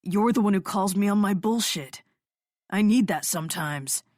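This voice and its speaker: A woman voicing a stubborn young man sick from withdrawal, speaking weakly in a low, hollow voice. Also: moderate pace, a little mellow, no echo, studio quality, lightly acted.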